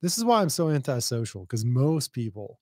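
A young man hosting a podcast, talking in a blunt, sardonic way and laughing often. His first language is Arabic. The voice sits at an ordinary pitch for a man and sounds close and dry with no room echo. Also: big pitch swings, brisk, dark tone, husky. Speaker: podcast host. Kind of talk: casual interview chat.

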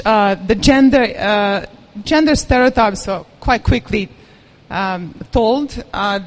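Woman, speaker at a live talk, candid and self-mocking, a bit nervous. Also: fairly high pitch. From Serbia. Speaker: event speaker. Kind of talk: personal story talk to an audience.

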